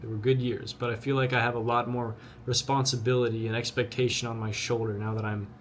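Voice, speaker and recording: Young man, twenty, earnest and blunt, repeats himself, mid-pitched voice, faint ambient noise. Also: flat delivery, quick pace. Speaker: vlogger. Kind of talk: motivational talk to camera.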